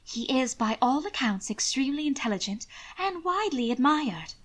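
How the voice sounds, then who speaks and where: A woman's voice: high-pitched, very animated, moderate pace, voice pushed up, full character voice. Woman playing a cheerful, earnest Regency lady. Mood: protesting. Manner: bright, earnest, reproving. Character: cheerful, soft-hearted, frank young Regency lady.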